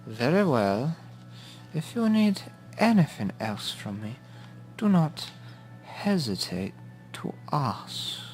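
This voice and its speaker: An actor playing a polite, sinister male museum expert in a noir radio play, formal and deliberate with eerie hushed tones. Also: fairly high pitch, big pitch swings, soft background music, rough recording. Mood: formal, solicitous.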